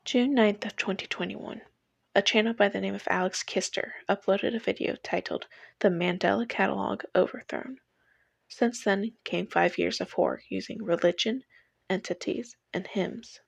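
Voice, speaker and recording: Young female video essayist, mid-pitched with noticeable vocal fry, reading a personal script in a dry space. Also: moderate pace, no echo.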